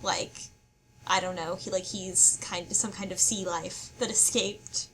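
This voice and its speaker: A woman with a mid-pitched voice co-hosts a casual discussion, with faint noise behind her. She speculates out loud in halting, puzzled phrases as she works through a story. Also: steady tone, poor audio. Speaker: book club podcast co-host.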